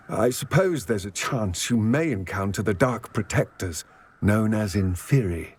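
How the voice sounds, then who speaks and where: A man voicing a solemn, measured male goblin ally in a video game, speaking in a formal, grave and deliberate way with a husky voice. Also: on the low side.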